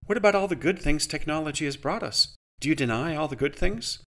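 An insistent woman pressing a question from the crowd, as a narrator puts her on. The voice is raised a little and animated. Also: fairly high pitch, brisk, pitched above the performer's own voice.

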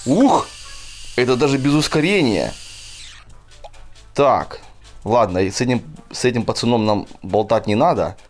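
A man's voice: medium pitch, animated, measured pace, pauses often. A man giving casual, chatty gameplay commentary for children, often addressing his viewers directly. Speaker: gaming video host. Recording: game music behind the voice.

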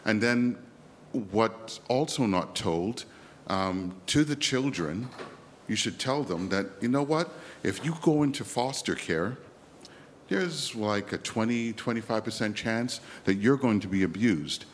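A man's voice: on the low side, animated, a little slow, a little hiss or hum. A man from the West Indies asking an audience question at a lecture, speaking emphatically. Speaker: audience member. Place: lecture theatre.

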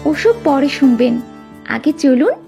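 Woman voicing a high-pitched young island girl. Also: sing-song, unhurried, exaggerated voice. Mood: caring. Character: young island girl, lone castaway, caring. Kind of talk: Bengali fairy-tale cartoon dubbing. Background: music behind the voice.

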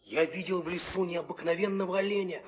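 An actor playing an earnest young hunter prince in an old audio drama, telling things with vivid wonder. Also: male voice, sits high, fairly even intonation, fairly quick, slight background noise, lightly acted. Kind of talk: 1960 theatrical audio drama acting.

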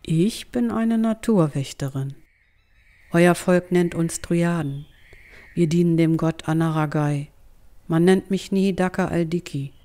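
Woman playing a mellow old dryad, calm and mysterious. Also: fairly low pitch, measured pace, lightly acted. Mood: wistful. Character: old dryad nature guardian, mysterious and gentle. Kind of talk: German fantasy audio drama acting.

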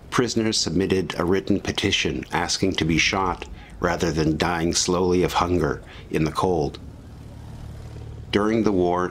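Low-pitched male documentary narrator. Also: a little hiss or hum. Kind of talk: scripted historical documentary narration.

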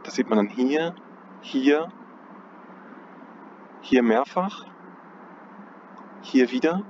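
A man at an ordinary middle pitch walks through market charts in an unhurried, thinking-aloud way, stopping to correct his own wording. The audio is dull and lo-fi. Clear outdoor noise and passing traffic sit behind him. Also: lively intonation, darkish tone. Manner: thinking aloud, hesitant, self-correcting, chuckles at himself. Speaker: trading analyst. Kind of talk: casual chart analysis commentary. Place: outdoors on a cold day.

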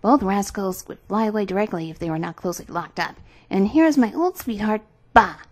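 A woman's voice: mid-range voice, lively intonation, brisk, a little mellow, close to an everyday voice. A woman voicing a wild little robber girl in a storybook, bossy and emphatic, fierce but fond. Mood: boastful. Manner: commanding, emphatic. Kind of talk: storybook character voice.

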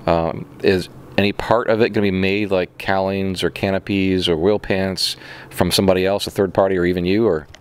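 A man's voice: sits low, steady tone, fairly quick, clear, resonant tone. A middle-aged man hosting an interview in an open hangar, asking long, rambling questions with restarts into a handheld mic. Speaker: channel host interviewer.

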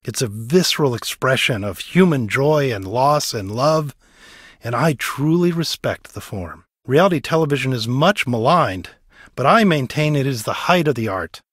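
A man's voice: medium pitch, lively intonation, moderate pace, fairly bright tone, no echo, clean studio sound. An actor voicing an earnest, pretentious man in a video game, speaking pompously. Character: earnest, pretentious devotee of reality television. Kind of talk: video game voice acting, confessional.

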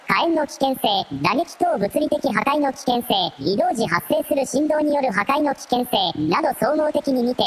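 A woman's voice: high-pitched, noisy background. A woman playing a coolly analytical assistant creature in a radio drama, reporting in clipped, formal phrases. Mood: cool, commanding. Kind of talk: Japanese radio drama acting.

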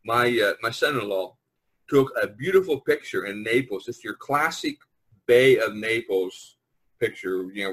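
A middle-aged man hosting a casual group chat over an online call, talking in a rambling, halting way and repeating himself. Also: fairly low pitch, a bit bright, slightly rough, rough recording. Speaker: podcast host. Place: online video conference call.

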